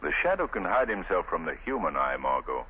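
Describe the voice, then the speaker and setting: An actor playing a calm, thoughtful gentleman detective, speaking in a measured, explanatory way in a 1930s radio drama. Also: deep voice. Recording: tinny old radio broadcast, faint hiss.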